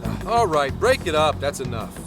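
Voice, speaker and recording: A man's voice: a little high, very animated, normal speed. An actor playing an easygoing, flippant plainclothes policeman in a video game cutscene, confident and playfully theatrical. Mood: wry, cocky. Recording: music behind the voice.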